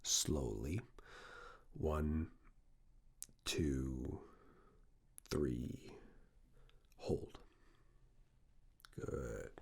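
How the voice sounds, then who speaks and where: A man in an ASMR roleplay playing a stern, controlling yet reassuring fitness assessor, giving hushed, clipped commands in a breathy, gravelly voice that often slips into whispers. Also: very deep voice, heavily acted. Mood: stern, encouraging. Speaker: ASMR performer. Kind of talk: ASMR personal attention roleplay.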